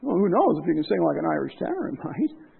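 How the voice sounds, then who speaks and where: Raspy high-pitched preacher on tinny audio. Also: male voice, very animated, fast. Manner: impassioned, rising to loud emphasis then dropping low. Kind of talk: sermon. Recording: tinny phone-like lo-fi sound.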